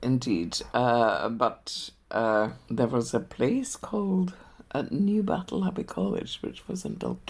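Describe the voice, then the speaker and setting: A woman's voice: extremely low pitch, big pitch swings, slow, creaky, a little mellow, husky. Older Austrian woman reminiscing. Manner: hesitant, many fillers and restarts. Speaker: interviewee. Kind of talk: reminiscing interview.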